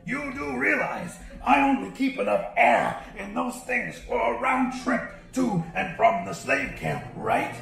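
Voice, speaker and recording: A cruel sea-monster crab boss from a horror audiobook, screeching high with a heavy gravelly rasp. The delivery swoops wildly as he bullies and rants at his human slaves. There is a roomy echo on the voice. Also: very animated, unhurried, hardly any pauses, soft background music, heavily acted. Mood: scornful ranting. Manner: ranting, shouting, profane bullying. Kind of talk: audiobook character voice.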